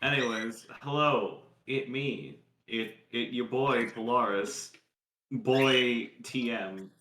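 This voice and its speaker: A man with a bright, sharp voice chats as a tabletop player, joking with mock exasperation. The sound is roomy and echoey, with faint noise. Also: mid-range voice, steady tone, takes it slowly. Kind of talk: casual chat.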